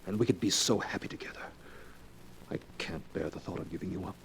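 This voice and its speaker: An actor playing a scheming, smooth-talking young heir in a 1940s radio melodrama, persuasive with his lover. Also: low-pitched, fairly quick. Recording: noisy old 1940s radio recording.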